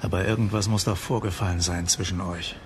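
An actor playing a weary, matter-of-fact head lighthouse keeper in a German radio crime drama. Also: male voice, low voice, fairly even intonation, a little fast, slight background noise, natural voice. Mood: weary, irritated.

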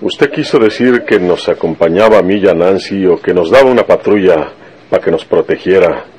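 Rustic Mexican lawman, low and rough-voiced, blunt and stubborn, for radio drama. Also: moderate pace, darkish tone. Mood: defiant, urgent. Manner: folksy country speech full of rustic colloquialisms. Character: stubborn, vengeful rural Mexican detective, blunt and earnest. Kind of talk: Spanish-language radio serial acting.

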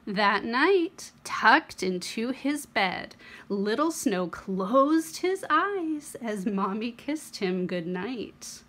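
Slow-paced woman reading a picture book expressively. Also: on the high side. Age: young adult. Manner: expressive, dramatic pauses and emphasis. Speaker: children's read-aloud host. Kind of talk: animated picture-book storytelling for a young child.

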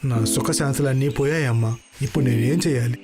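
A man's voice: mid-range voice, fairly quick, close to an everyday voice. A man voicing an honest, helpful village husband in a moral story, speaking in a polite, earnest way. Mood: troubled.

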